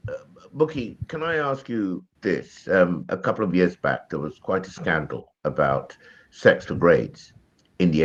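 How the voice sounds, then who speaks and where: A man's voice: a bass voice, husky, dull audio. A man hosting a studio interview, sniffling with a cold and talking in a chatty way full of ums and asides. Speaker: talk show host.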